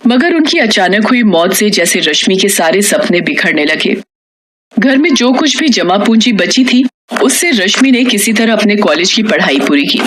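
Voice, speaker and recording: A female storyteller with a middling pitch narrates a moral tale. She reads from a script, telling the story as a narrator. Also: fairly bright tone, a little hiss or hum.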